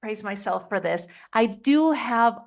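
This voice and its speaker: A woman's voice: a little high, lively intonation, measured pace, creaky. A middle-aged woman from Spain, a podcast guest talking in a chatty way with many "like" and "you know" fillers. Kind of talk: interview conversation.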